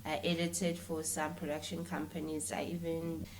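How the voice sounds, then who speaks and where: Woman, South African accent, slightly low pitch, animated, informal, conversational interview guest, code-switching. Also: normal speed, a little hiss or hum, poor audio. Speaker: interview guest, filmmaker and content creator.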